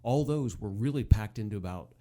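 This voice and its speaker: A man's voice: fairly low pitch, animated, a little fast, dark tone. A man co-hosting a show, giving casual, conversational commentary. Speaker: podcast co-host.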